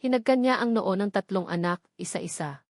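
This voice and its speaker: A woman's voice: medium pitch, normal speed, darkish tone, dry recording, studio quality. A woman narrating a scripted romantic drama story as a dramatic storyteller.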